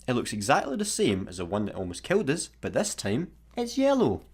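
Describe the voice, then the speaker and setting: Grumpy space worker, animated voice. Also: male voice, medium pitch, fairly quick, slightly rough, heavily acted. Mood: exasperated. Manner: sarcastic, grumbling. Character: grumpy, exasperated male space satellite employee. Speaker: radio comedy actor. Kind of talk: sci-fi comedy radio play acting.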